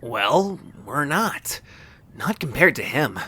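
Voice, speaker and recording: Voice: mid-range voice, sing-song, slow pace, sharp tone, slightly rough, exaggerated voice. A performer voicing a modest, self-doubting young sidekick creature in an audio drama, hesitant and bashful.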